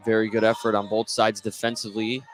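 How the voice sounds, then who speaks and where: A man's voice: on the low side, flat delivery, fast, poor audio. An American man doing live play-by-play basketball commentary on the radio. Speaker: play-by-play announcer. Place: indoor high school gymnasium. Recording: faint gym noise behind. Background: faint gym ambience.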